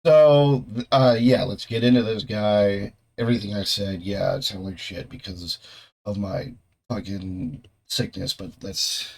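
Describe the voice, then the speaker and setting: A young man hosting a reaction video, talking casually with a voice still hoarse from a cold, rambling, swearing and restarting his sentences. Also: lowish voice, fairly even intonation, a little slow, darkish tone. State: hoarse, getting over a cold. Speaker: host of a video reaction channel. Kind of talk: casual off-the-cuff chat.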